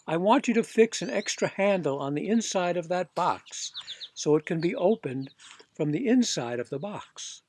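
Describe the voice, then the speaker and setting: An eccentric storybook man of the house, played by a male reader. He is earnest and stubborn, insisting in a flustered, indignant way. His pitch sits a little high. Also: expressive, normal speed, slight background noise.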